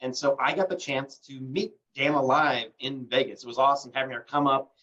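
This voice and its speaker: A man's voice: medium pitch, brisk. A man hosts a live online call in a loose, filler-heavy way while recovering from bronchitis. He sounds set back from the mic. The audio is dull and lo-fi. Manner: relaxed, many fillers, promotional. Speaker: host. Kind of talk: livestream call hosting. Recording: distant muffled lo-fi call audio.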